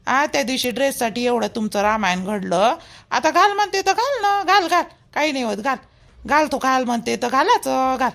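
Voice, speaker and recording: A woman's voice: sits high, animated, normal speed, vocal fry, fairly bright tone, full character voice. A woman with a Vidarbha Marathi accent playing a caring, fussy mother-in-law in a village cartoon, insistent and repeating herself in a homely way. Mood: fussy, coaxing.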